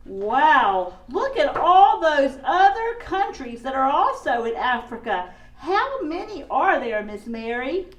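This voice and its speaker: Casting: woman as curious puppet kid, swooping animated delivery, slow pace, children's show skit. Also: sits high, full character voice. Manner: animated, wide-eyed, slowly spoken. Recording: echoey room, far from the mic.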